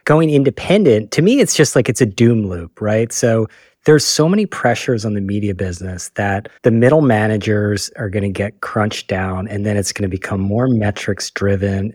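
A man's voice: fairly low pitch, a little mellow, no room sound, clean studio sound. A man co-hosting a casual podcast chat, telling stories in a rambling way and correcting himself as he goes. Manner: rambling, self-correcting storyteller. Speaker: podcast co-host.

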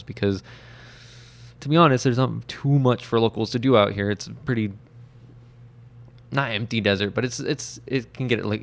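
A man's voice: on the low side, creaky. A man in his twenties, a comic shop owner, talking in a casual, rambling interview with many fillers. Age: 20s.

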